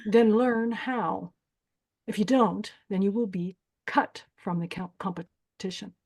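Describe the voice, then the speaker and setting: A woman's voice: mid-range voice, slow, darkish tone. A woman playing a stern, callous older beauty queen who hosts a pageant in a screenplay table read, commanding and cold in manner. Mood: stern, menacing. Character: stern, callous 64-year-old female pageant host, former beauty queen.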